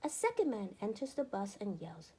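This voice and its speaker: A woman narrating a children's book aloud in an expressive, deliberate storytelling manner with emphatic phrasing. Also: mid-range voice, breathy edge.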